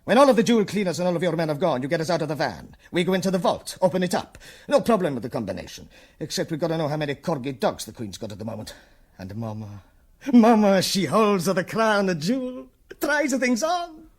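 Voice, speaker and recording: A man's voice: on the high side, very animated, brisk. A man playing a bossy, hot-tempered Italian crime boss with an Italian accent and broken English in a comic radio play. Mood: bossy, menacing. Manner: broken English, bullying, blustering.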